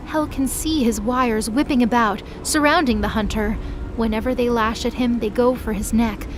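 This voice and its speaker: A woman reading scripted, dramatic story narration as the narrator of an audio drama. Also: fairly high pitch. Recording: clear background noise under voice.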